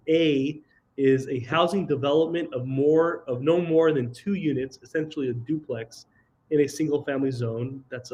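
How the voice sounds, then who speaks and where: A man with a slight cold and a nasal voice, giving an online slide presentation over a video call, halting with ums, restarts and throat clearing. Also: mid-range voice, darkish tone, poor audio. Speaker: presenter of a housing webinar.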